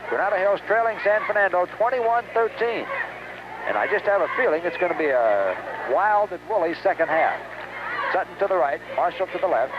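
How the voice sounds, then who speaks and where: Male American play-by-play announcer, high voice, live football radio call in clipped phrases, dull old broadcast sound. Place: outdoors at a stadium. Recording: dull, noisy 1970s radio recording.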